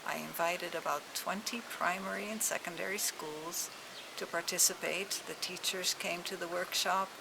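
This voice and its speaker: A woman giving a measured interview explanation as an English language educator. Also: fairly low pitch. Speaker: English language fellow, teacher trainer. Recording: noisy on-location interview with clear background ambience.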